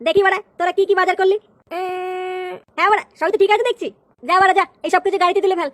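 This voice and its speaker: Very high, brash cartoon boss. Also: flat delivery, fast talker, slight background noise. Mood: bossy. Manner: swaggering, scolding. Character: brash, bossy, foul-mouthed cartoon character flaunting money. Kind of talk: comic cartoon dubbing. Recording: music behind the voice.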